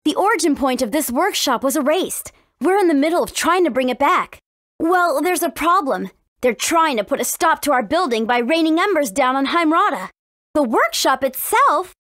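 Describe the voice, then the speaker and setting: A woman with an American accent playing a spirited, earnest teenage warrior princess in an English video game, with expressive, emphatic delivery. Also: high voice, moderate pace, dry recording, clean studio sound. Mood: earnest, explaining.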